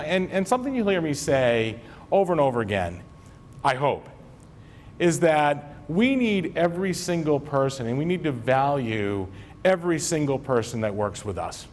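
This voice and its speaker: A man's voice: a little high, a little hiss or hum. A man from Maine, a school superintendent, giving a chatty, joking convocation speech to his staff in a large hall. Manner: chatty, self-deprecating, joking with the audience. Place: large hall with a live audience.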